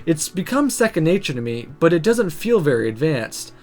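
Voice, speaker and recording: A woman reading a first-person visual novel story aloud in an expressive, dramatic, introspective way. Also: low voice, moderate pace, faint music behind. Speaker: visual novel let's player.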